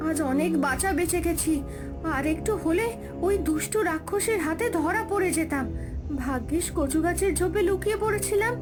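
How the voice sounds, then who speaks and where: A woman's voice: very high voice, normal speed, over music. A woman voicing a kind, brave young mermaid in a fairy-tale cartoon, speaking in an earnest, declamatory way. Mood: relieved.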